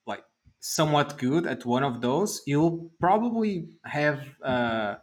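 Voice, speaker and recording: A man from Portugal with a Portuguese accent, hosting a podcast in a relaxed, chatty way with lots of "like" fillers. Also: on the high side, takes it slowly. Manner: chatty, uses many "like" fillers. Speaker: podcast host. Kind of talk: casual conversation.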